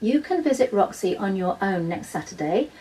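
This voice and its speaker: A woman's voice: medium pitch, animated, normal speed, dark tone, lightly acted. Narrator as a firm, sulky mum, slightly distant woman's voice. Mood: bargaining, firm. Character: sulky, firm mother of a schoolchild. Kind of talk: children's audiobook character dialogue.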